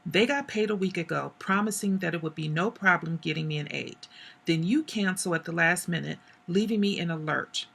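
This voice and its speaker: A hoarse woman voicing a cold, accusing young man in a story she reads aloud. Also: lowish voice, fairly quick, fairly bright tone, exaggerated voice. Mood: bitter. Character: angry young man, bitter and sharp-tongued.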